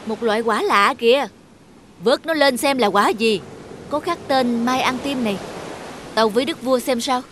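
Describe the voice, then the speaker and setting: A woman's voice: fairly high pitch, normal speed, fairly bright tone, a little hiss or hum, natural voice. A woman voicing an eager, deferential male sailor and royal servant in a cartoon fairy tale.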